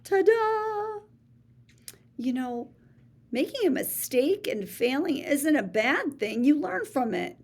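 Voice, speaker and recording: A woman reading a children's picture book aloud in a playful, theatrical way, with big swings between soft and showy lines. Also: high voice, sing-song, slow pace. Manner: boastful first-person storybook voice. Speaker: read-aloud storyteller.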